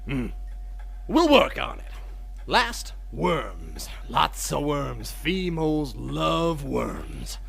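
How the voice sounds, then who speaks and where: A man's voice: fairly high pitch, big pitch swings, extremely slow, gravelly, fairly bright tone, breathy edge, heavily acted. An actor playing a crotchety, bossy old male mole who blusters and mutters in a comic audio drama. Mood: lecturing, rambling.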